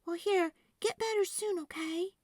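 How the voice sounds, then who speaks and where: A woman's voice: extremely high pitch, a little slow, darkish tone, no room sound, clean studio sound, voice pushed up. A woman voicing an earnest, stubborn, devoted little girl in a storybook, with heartfelt, hesitant phrasing. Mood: hopeful, concerned. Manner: hesitant ums and huhs, heartfelt.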